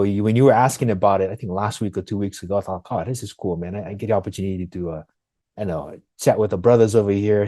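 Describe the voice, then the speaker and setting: Low, mellow Fijian-accented man. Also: no room sound. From Fiji. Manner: informal, often says "man" and "you know". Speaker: interview guest. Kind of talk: casual conversational storytelling.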